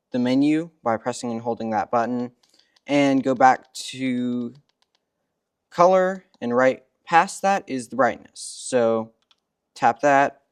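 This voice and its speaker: A man's voice: mid-range voice, smooth, no echo, clean studio sound. A man explaining features step by step as a product demonstrator, recorded indoors in a workshop.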